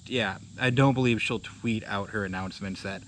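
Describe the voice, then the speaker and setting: Man, podcast co-host, lowish voice, wry offhand quips, casual chat, faint background noise. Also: moderate pace, low-quality recording.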